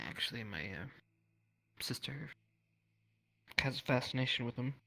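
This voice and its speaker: A man's voice: mid-range voice, animated, slow, bright, forceful tone, no echo, full character voice. A man playing a polite, hesitant townsman in an improvised fantasy roleplay, answering deferentially. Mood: polite, reserved. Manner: polite, hesitant, throat-clearing, short replies. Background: music.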